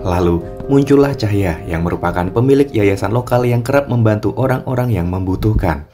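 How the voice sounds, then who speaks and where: A man narrates a cartoon story in a steady, even way. His voice sits low. Music plays clearly under him. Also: brisk.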